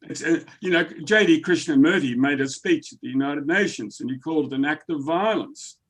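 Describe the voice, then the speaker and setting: A man's voice: on the high side, fairly quick. A man who grew up in the Netherlands, taking part in a group book discussion, emphatic and prone to stammering and repeating words.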